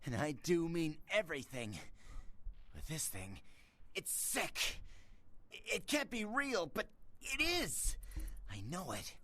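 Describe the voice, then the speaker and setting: Jittery informant, swooping pitch. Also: male voice, huge pitch swings, slow pace, pushed and bright, heavily acted. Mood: scared, uneasy. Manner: nervous, stammering, evasive. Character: jittery, frightened, shady male informant. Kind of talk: video game voice acting.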